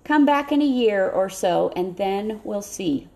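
Casting a woman as a courteous army captain for an audiobook, kind, measured, firm, some creak in the voice. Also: medium pitch, lively intonation, darkish tone. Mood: kindly firm. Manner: measured, reassuring. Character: kind, courteous, firm male cavalry officer.

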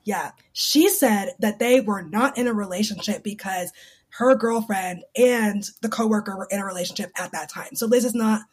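A woman's voice: mid-range voice, normal speed, slightly rough. A woman hosting a podcast, recapping reality TV in a casual, gossipy, sweary and explanatory way. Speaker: podcast host.

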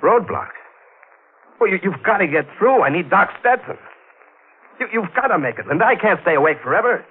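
A man's voice: high voice, big pitch swings, brisk, pauses often, breathy edge, exaggerated voice. A man playing a menacing escaped killer in an old radio crime drama, curt, commanding and sardonic. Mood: rattled, desperate. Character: menacing escaped killer, adult man, cunning, holding a housewife hostage. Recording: tinny old radio recording with hiss.

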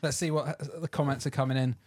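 A man's voice: mid-range voice, steady tone, fast, a little mellow. A man from southern England co-hosting a chat show, in a teasing, easy-laughing way. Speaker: co-host.

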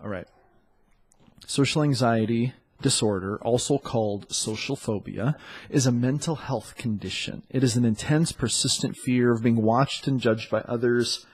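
A man hosting a dating podcast in a loose, chatty way, sounding congested and often pausing on fillers. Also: medium pitch, husky. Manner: loose and chatty, with many fillers and self-corrections. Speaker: podcast host and dating coach. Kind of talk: casual solo podcast talk.

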